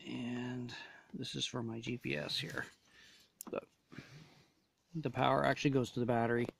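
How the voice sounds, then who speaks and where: A man's voice: mid-range voice, little pitch movement, normal speed, creaky, a little hiss or hum. A man casually demonstrating gear as a reviewer, talking in short, hesitant phrases. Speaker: gear reviewer showing a radio install.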